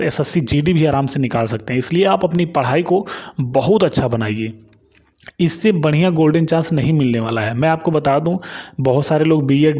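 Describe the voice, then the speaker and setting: A man's voice: a little high, darkish tone. A man giving an informal update and study advice to students, repeating himself with reassuring tags and emphatic urging. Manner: repetitive, reassuring tag phrases, emphatic urging. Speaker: exam news YouTuber and study adviser.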